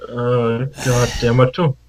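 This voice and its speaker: A man's voice: mid-range voice, monotone, slow pace, slight background noise. A man co-commentating on a livestream in casual chat.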